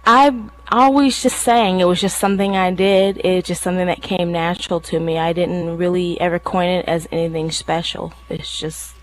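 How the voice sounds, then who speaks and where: A woman's voice: on the low side, fairly even intonation, moderate pace, hardly any pauses, rough recording. A woman from Thailand, a singer, chatting casually as an interview guest.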